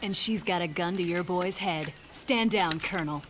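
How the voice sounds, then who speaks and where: A woman acting as a defiant, outraged agent in a video game, forceful and confrontational. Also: lowish voice, moderate pace, natural voice. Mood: angry, defiant. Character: defiant, outraged female agent standing her ground. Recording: loud noise behind the voice.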